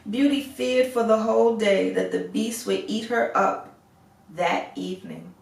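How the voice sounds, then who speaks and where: A woman reading a fairy tale aloud as an expressive storyteller. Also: mid-range voice, unhurried, gravelly. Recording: roomy echo, distant microphone.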